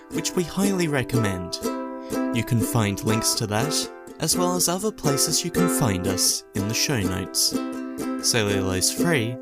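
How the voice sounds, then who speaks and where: A man reading scripted podcast credits as an announcer. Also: mid-range voice. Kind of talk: scripted outro narration. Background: loud acoustic string music.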